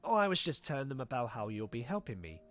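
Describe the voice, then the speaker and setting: Casting: actor for earnest young cartoon pony, mid-pitched, animated, sincere and remorseful, faint music. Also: male voice, fast. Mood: bright, brisk. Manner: expressive, sincere. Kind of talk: animated fan drama dialogue.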